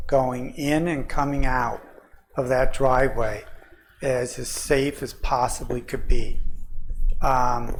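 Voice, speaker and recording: A man's voice: mid-range voice, rough recording. A man presenting a site plan at a township meeting, answering questions methodically with occasional fillers.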